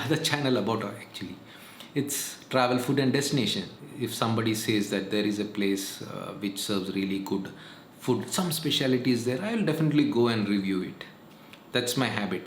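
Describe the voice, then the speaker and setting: Man, 40s, earnest and repetitive, casual vlog talk, mid pitch, roomy echo. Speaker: vlogger. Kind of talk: casual advice monologue. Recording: echoey room, faint noise.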